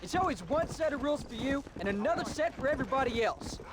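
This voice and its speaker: A man's voice: very high voice, expressive, fast, background noise. A young man playing a defiant, sulky teenage farm boy in a video game, speaking with an American accent in a sullen, protesting way. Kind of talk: video game cutscene acting.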